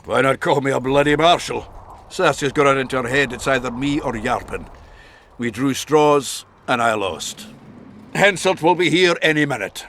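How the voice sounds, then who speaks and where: An actor playing a gruff, foul-mouthed dwarf commander in a fantasy video game, blunt and crude. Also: male voice, mid-range voice, moderate pace, fairly bright tone, a little hiss or hum, close to an everyday voice. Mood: sardonic, boastful. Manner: blunt, crude, barking orders.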